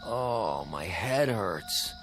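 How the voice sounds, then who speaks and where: Grouchy, swooping husband. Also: male voice, low-pitched, lively intonation, drawn-out words, fairly bright tone, soft background music, full character voice. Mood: sleepy. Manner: grumbling, sardonic. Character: grouchy, hungover young New York husband. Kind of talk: radio drama acting.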